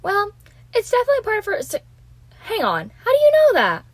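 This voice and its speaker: A woman's voice: extremely high pitch, wildly swooping pitch, brisk, no echo, full character voice. A woman playing an eager, chatty and earnest young student who loves cars, in a detective radio drama. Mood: enthusiastic.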